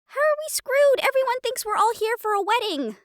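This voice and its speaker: A woman's voice: very high-pitched, lively intonation, fairly quick, no echo, clean studio sound. A woman playing a perky, girlish, well-meaning but scatterbrained young royal in a fantasy audio roleplay. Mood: upbeat, assured. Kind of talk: dramatic audio roleplay acting.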